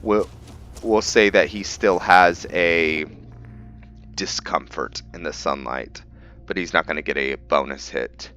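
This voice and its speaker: Low-voiced man, halting casual game commentary. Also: fairly bright tone. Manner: halting, thinking aloud. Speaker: video game streamer, let's-play host. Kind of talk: casual roleplay gameplay commentary.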